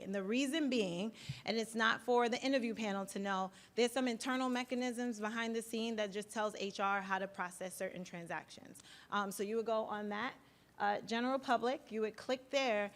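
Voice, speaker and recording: A woman presenter at a workshop, guiding the audience step by step through a job website and often checking in with "right?". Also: mid-range voice.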